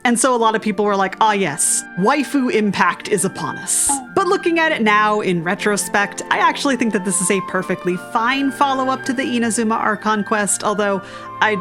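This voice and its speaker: A woman streamer talks through a game in an irreverent, informal way. Her voice is mid-pitched and conversational, the phrasing loose and jokey, with game music playing behind her. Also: animated, fairly quick. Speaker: video game streamer, host. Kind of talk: casual game commentary, reading along and reacting.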